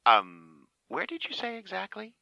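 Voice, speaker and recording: A man's voice: wildly swooping pitch, a little slow, gravelly, bright, forceful tone, no room sound, lo-fi sound, full character voice. An actor playing a nervous, stammering male insurance man who is sweet on an old friend, in an amateur radio play. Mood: eager. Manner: stammering, flustered, jumpy pitch.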